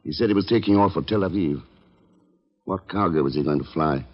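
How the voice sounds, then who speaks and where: Cool, low-voiced radio investigator. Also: male voice, a little fast, husky. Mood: probing. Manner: terse, dry, understated. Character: cool, probing, tough male investigator hero. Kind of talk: 1940s-50s American radio drama acting. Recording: dull, echoey old radio recording with clicks.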